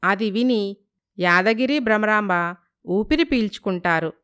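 A woman's voice: medium pitch, measured pace, a bit bright, clear, resonant tone. A woman narrating a scripted moral story as the storyteller.

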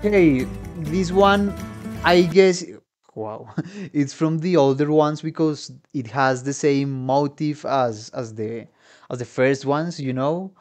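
A young man giving casual reaction commentary as a musician, in a relaxed, halting manner, often repeating words. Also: fairly high pitch. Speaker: reaction video host, musician. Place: home room with guitars. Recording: game music playing behind. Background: game trailer music.

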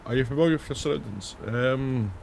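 Hoarse, raspy man playing games. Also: mid-range voice, brisk, a little mellow, slight background noise. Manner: reads on-screen questions aloud and answers them, jokey. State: hoarse from days of singing. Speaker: gaming channel host. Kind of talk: casual let's-play commentary. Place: home room, indoors. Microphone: headset.

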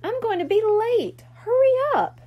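A woman's voice: very high voice, big pitch swings, unhurried, highs cut off, pitched above the performer's own voice, full character voice. A woman voicing a caring, conscientious girl kitten-mermaid in a children's storybook, earnest and slightly worried. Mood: worried, rushed.

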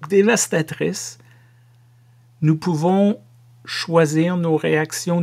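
A middle-aged man with a Québécois French accent giving a sermon to camera from a home office, slow and measured with playful asides. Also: on the high side, a little mellow. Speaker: pastor.

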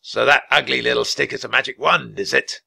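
Man putting on a menacing, raspy raven king villain. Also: medium pitch, steady tone, fairly quick, bright, forceful tone, no room sound, exaggerated voice. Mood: commanding, angry. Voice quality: raspy, croaking.

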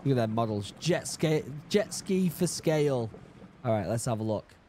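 Man, 30s, hoarse and under the weather, casual reaction chat, dark mellow tone, studio boom mic at home. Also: moderate pace, a little hiss or hum. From United Kingdom. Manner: chatty and easily excited, reading labels aloud. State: hoarse, sick and losing his voice. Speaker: YouTube reaction host. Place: home study with bookshelves. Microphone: studio mic on boom arm, headphones.

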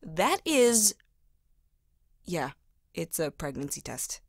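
A woman's voice: lowish voice, sing-song, slow pace, dry recording, studio quality, full character voice. A woman acting in an audio drama as a caring, self-doubting young woman, in a natural style. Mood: wry, nervous. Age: young adult.

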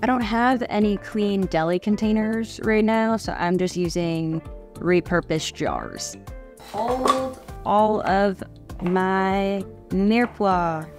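A woman's voice: mid-range voice, big pitch swings, slow, a little mellow, soft background music. A young adult woman chatting casually as a cooking vlogger, with a wry, self-deprecating manner. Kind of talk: casual chat while cooking. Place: home kitchen, indoors.

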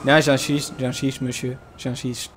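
A man's voice: medium pitch, little pitch movement, unhurried, noisy background. A man clowning through improvised video game roleplay, crude and repetitive in the way he talks. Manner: crude, clowning, repeats himself.

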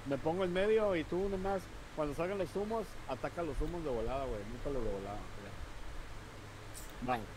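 A man with a Mexican accent chatting casually with friends while streaming a game, relaxed and full of slang. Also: fairly high pitch, fairly quick, background noise. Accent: Mexican Spanish. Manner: relaxed, slangy, calls out moves. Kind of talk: casual gaming chat with friends.

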